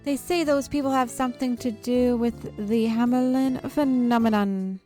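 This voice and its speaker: A woman's voice: a little high, fairly even intonation, normal speed, warm tone, pitched above the performer's own voice, close to an everyday voice. Woman acting a stern parent, voice raised. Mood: stern warning. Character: stern, dismissive parent in town. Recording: background music under the voice.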